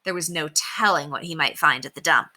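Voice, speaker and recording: A woman reading a children's book aloud as narrator, expressive and emphatic. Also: low-pitched, a little fast. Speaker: storybook reader.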